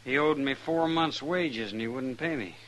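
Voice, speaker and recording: Male actor, aggrieved American ranch hand for radio western: medium pitch, tense edge, folksy and defensive. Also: moderate pace, a bit bright, natural voice, rough recording. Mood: defensive, indignant. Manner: defensive, folksy rural speech.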